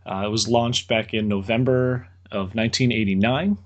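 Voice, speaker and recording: A man with a fairly low voice explains engineering ideas as a podcast guest. He talks plainly and casually, slipping in dry jokes as he goes. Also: fairly even intonation, normal speed. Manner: explanatory, dry joking asides. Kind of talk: explaining technical topics in casual chat.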